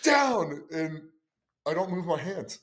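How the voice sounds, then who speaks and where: A man hosting a casual podcast chat, with a loud voice and an emphatic, excitable way of telling stories. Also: fairly high pitch, slow pace. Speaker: podcast host and strongman judge.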